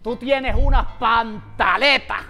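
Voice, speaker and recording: A lazy, stubborn husband in a TV comedy sketch, whining and pleading in a middling, even voice. Faint music sits behind him. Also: male voice, very high-pitched, takes it slowly, heavily acted. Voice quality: pleading, repeats his wife's name. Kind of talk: sitcom sketch acting. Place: TV studio set, indoors.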